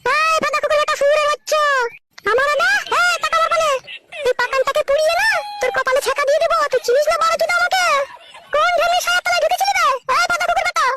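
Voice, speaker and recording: A fiery young village wife hurls threats and insults in an extremely high, shrill voice. She talks very fast in a homemade cartoon, over loud noise. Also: fairly even intonation. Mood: fiery threatening. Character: brash, fiery, foul-mouthed twice-married young village wife. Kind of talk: amateur cartoon village comedy dubbing.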